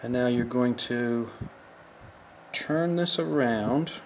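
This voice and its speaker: Unhurried male instructor talking through a table-top demo, plain and practical. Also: medium pitch, slight background noise. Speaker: fishing tackle instructor. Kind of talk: hands-on how-to demonstration. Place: indoors at a work table.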